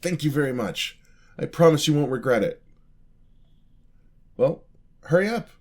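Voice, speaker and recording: A man's voice: on the high side, lively intonation, a little slow, a little mellow. A man playing an eager, charming young apprentice paladin in a fantasy ASMR roleplay, talking to the listener in a polite, easily flustered way. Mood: eager, excited. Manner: polite, flustered, hesitant, chatty.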